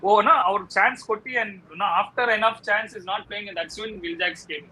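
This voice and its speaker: A man with a Kannada Indian accent giving his take in a casual cricket chat, often pausing on filler phrases. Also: high-pitched, pushed and bright, slight background noise, rough recording. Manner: hesitant phrasing with frequent "you know". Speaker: cricket panelist. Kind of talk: casual sports discussion.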